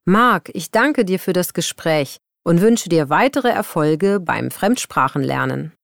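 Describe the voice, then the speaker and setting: A woman with a lower voice reading prepared texts, her pitch moving a lot as she speaks. The sound is dry and close-miked. She delivers each line clearly. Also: measured pace, no room sound. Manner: lively, clear scripted delivery. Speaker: exam recording reader. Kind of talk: scripted reading, playing an interviewer.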